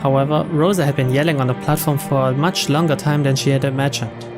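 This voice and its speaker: A man's voice: mid-range voice, fairly even intonation, quick pace, music in the background. A man reading scripted story narration aloud from a visual novel as a let's player.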